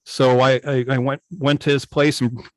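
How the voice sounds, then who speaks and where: An older man with an American accent telling stories informally on a video call, halting and often pausing mid-thought. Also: mid-range voice, flat delivery, no room sound. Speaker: guest, old-time radio station operator. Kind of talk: informal storytelling in an online club meeting.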